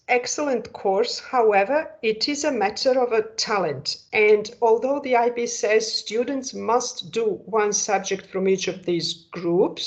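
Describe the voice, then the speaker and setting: Woman explaining school options on a call. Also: mid-range voice. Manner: explanatory, asks rhetorical questions. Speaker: school presenter. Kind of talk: online information session. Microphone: video call. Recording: dull lo-fi video call audio.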